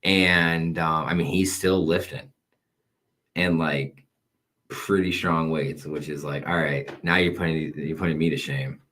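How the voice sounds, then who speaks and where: Brief: thirties male podcast host, very low voice, rambling, self-mocking chat. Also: steady tone, measured pace, fairly bright tone. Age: 30s. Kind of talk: casual wrestling podcast chat.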